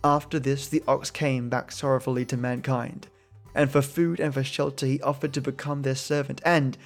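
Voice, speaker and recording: A man's voice: medium pitch, little pitch movement, normal speed, faint music behind. A man steadily reading folk tales aloud as a podcast host. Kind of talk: storytelling narration.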